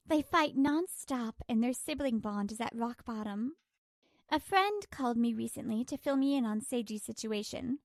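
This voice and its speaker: High-pitched woman narrating a story. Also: animated, moderate pace, no echo, clean studio sound. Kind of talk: scripted first-person story narration.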